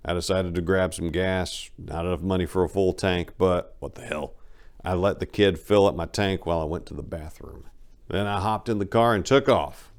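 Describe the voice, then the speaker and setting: A man giving a dramatic reading of a first-person road story, narrating with emphatic swings in loudness. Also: low-pitched, normal speed. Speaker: audiobook narrator.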